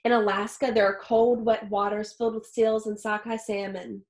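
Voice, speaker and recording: A woman in her thirties reading a picture book aloud like a teacher, expressively and with deliberate phrasing for young listeners. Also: medium pitch, level tone. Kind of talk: expressive children's read-aloud. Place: small home office or study with wooden shelves. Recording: roomy, echoey indoor sound.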